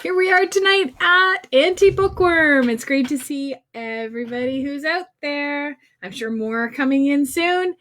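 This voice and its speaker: High-voiced woman hosting children's story time. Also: unhurried, hardly any pauses, a bit bright, slight background noise. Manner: sing-song, addressing children with questions. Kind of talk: casual livestream chat to young children. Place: informal livestream at a computer.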